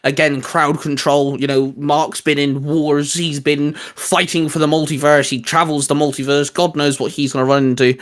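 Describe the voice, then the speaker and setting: A man's voice: a little high, level tone, normal speed, few pauses. A man hosting a casual, geeky discussion, explaining his ideas in a rambling way. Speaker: host.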